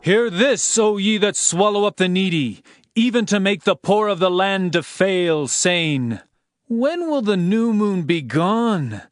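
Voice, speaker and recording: Slow, high-voiced fervent prophet. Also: male voice, expressive, no room sound, studio quality. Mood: fervent warning. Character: earnest male herdsman turned prophet, pleading and warning. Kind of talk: dramatized scripture reading. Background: music.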